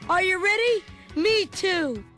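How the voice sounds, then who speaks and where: Excitable little kid telling a read-along story, really high voice, dramatic and earnest. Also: takes it slowly, over music, heavily acted. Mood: eager storytelling. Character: Chunk, excitable chubby young boy narrator.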